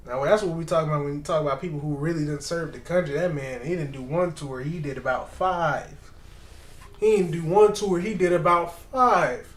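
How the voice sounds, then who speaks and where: A man with a fairly high voice chats through a reaction video in a loose, slangy way. He is lively and repeats points for emphasis. The sound is roomy and echoey. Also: normal speed. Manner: animated, slangy, repeats himself. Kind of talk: casual reaction commentary.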